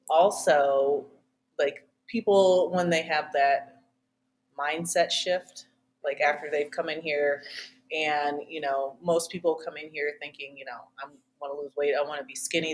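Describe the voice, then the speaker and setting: A woman's voice: low voice, fairly even intonation, unhurried, poor audio. A woman who coaches fitness, talking casually in an interview conversation.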